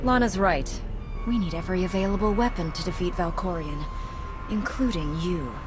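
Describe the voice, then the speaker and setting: A hard female commander, played by a woman, speaks in a lowish voice with curt, cold authority. Dramatic music plays behind the game dialogue. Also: normal speed, natural voice. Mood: commanding. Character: hard, vengeful female dark warrior commander. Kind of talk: dramatic video game acting.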